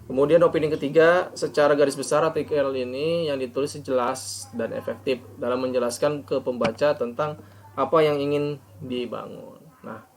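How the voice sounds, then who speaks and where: Creaky-voiced man presenting. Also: medium pitch, a little hiss or hum. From Indonesia. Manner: formal, reading out points then explaining haltingly. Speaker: postgraduate student presenter. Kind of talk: academic presentation of an article review.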